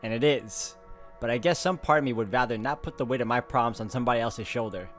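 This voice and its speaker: A man's voice: medium pitch, fast talker. Man narrating a visual novel over music. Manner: informal, expressive, stumbles while reading. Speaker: gaming video host. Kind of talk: reading visual novel narration aloud with casual commentary.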